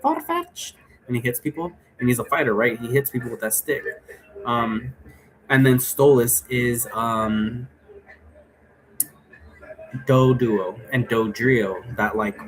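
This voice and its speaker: A man with a mid-pitched voice talks off the cuff, explaining things in a loose, rambling way. He drifts into asides as he goes. There is noticeable noise behind him in the room. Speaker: presenter explaining an occult role-play game. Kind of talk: casual unscripted explanatory talk. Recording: clearly noisy room recording.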